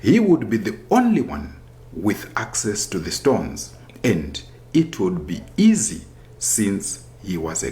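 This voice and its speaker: A man reading a scripted folktale as a storyteller, in a deliberate, expressive manner. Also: lowish voice.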